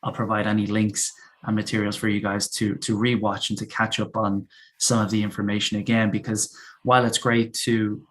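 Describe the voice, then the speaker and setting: A man with a low, steady voice talks as an English teacher in a casual online chat. He rambles warmly through his ideas, often repeating words as he thinks aloud.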